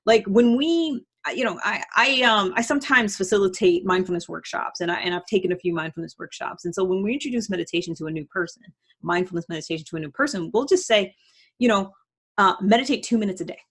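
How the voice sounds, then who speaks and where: A woman's voice: medium pitch, expressive, fast, a bit bright, husky. A woman giving casual motivational advice as a host, conversational and emphatic, often voicing imagined self-talk.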